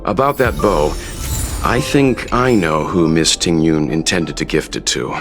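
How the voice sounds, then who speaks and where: A calm, perceptive male adventurer, speaking in a deep register with lively inflection. It is game dialogue with faint music underneath. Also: measured pace. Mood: thoughtful. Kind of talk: video game voice acting. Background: soft game music.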